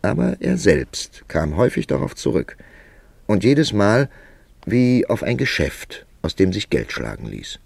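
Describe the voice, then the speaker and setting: Radio play: male literary narrator, thoughtful writer, deep and creaky, dry close sound. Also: sing-song, a little mellow, no echo, exaggerated voice. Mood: wry, brooding. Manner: measured, reflective, literary. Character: Mr. Kipling, reflective male writer narrating his own story.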